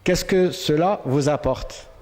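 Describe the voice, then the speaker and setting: A man's voice: on the high side, slow, a little mellow. A man from Algeria with an Algerian accent, a French learner speaking in a prepared interview. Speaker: language student and interviewer. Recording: long hall reverb.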